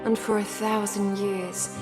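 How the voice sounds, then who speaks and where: Woman acting as a solemn female fantasy narrator, very slow deliberate pace, loud music underneath, trailer voice-over. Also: medium pitch, flat delivery, natural voice. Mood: solemn, urgent. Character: solemn female storyteller summoning a fantasy champion.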